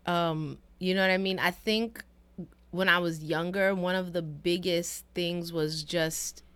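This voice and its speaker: Smooth-voiced young woman, reflective and casual. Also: lowish voice, unhurried. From United States. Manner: relaxed, reflective, many fillers. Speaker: podcast co-host. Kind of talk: casual conversation.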